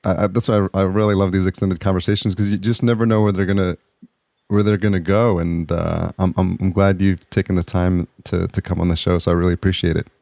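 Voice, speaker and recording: A man hosts a podcast interview, speaking in a very low voice. He talks in a friendly but halting way, with many restarts. The sound is dry and close, with no room echo. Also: little pitch movement, creaky, a little mellow. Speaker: podcast host. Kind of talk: casual interview chat.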